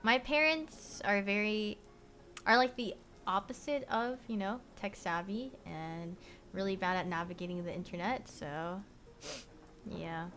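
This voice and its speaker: A young woman born in Korea, chatting casually to stream viewers from her bedroom, rambling with lots of "like" and "you know" and trailing off softly. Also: mid-range voice, a little slow, slight background noise. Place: bedroom at home.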